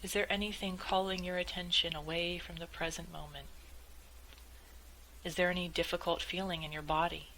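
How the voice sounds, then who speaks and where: A woman leading a guided meditation in a measured, gently instructive way, as calm spoken instruction. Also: lowish voice, slight background noise, low-quality recording.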